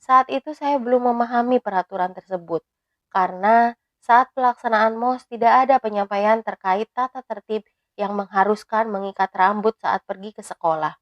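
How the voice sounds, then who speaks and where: A woman in her thirties, a teacher, reading a prepared personal reflection in a measured, even way. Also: medium pitch, no echo, dull audio. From Indonesia. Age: 30s.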